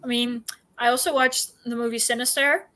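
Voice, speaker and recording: Female stream co-host, bright and slightly high, informal, self-deprecating chat. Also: fairly even intonation, moderate pace. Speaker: co-host of reading livestream. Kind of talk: casual chat.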